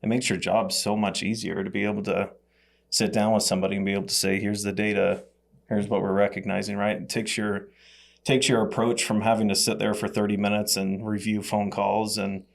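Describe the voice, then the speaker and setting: Podcast guy, pretty flat delivery, lots of vocal fry, low-ish, chatting business. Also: fairly quick. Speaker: podcast panelist. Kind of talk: conversational business podcast talk.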